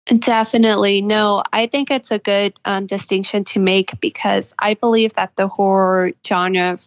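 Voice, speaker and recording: Female interview guest, author type, gravelly vocal fry, close dry sound, considered and halting conversational delivery. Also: mid-range voice, fairly even intonation, no room sound. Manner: halting, pausing to consider points. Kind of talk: casual interview discussion.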